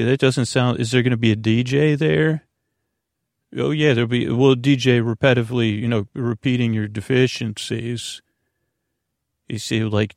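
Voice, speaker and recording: Guy with a fry-heavy voice doing a rambly bedtime podcast, halting, puts himself down, super dry sound. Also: medium pitch, a little mellow, dry recording. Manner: halting, repeats and trails off mid-phrase; self-deprecating. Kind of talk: rambling, meandering bedtime monologue.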